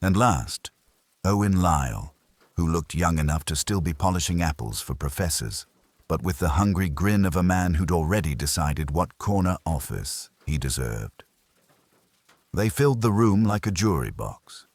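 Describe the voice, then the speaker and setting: A man reading a first-person detective story as scripted audiobook narration, in a husky voice. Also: deep voice, expressive, moderate pace, no echo.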